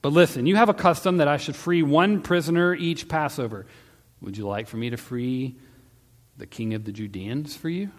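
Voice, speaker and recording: A man voicing a commanding, exasperated male Roman governor in a dramatic reading, with declamatory, forceful questioning. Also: on the high side, normal speed, pitched above the performer's own voice, lightly acted. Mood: proclaiming. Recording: long hall-like reverb.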